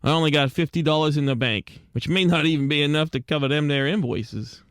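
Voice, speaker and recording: Man voicing a slangy self-doubting penguin gumshoe, high-pitched. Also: brisk, fairly bright tone, smooth, no echo, studio quality. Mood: downcast. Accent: American. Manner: slangy tough-guy talk. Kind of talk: 1940s radio detective acting and narration.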